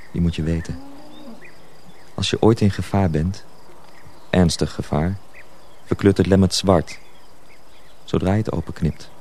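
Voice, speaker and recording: A low, dark-voiced protective mill journeyman. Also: a little hiss or hum. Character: Tonda, protective, secretive older mill journeyman. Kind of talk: radio drama acting.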